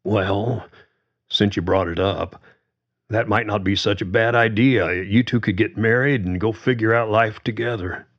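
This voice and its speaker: A man's voice: on the low side, unhurried, lo-fi sound, pitched above the performer's own voice. Man voicing a plainspoken country father. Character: middle-aged father, steady and protective.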